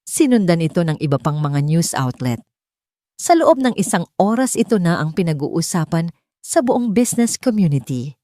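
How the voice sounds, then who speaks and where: Low-voiced woman narrating a dramatic story. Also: big pitch swings, moderate pace, dark tone, no echo, clean studio sound.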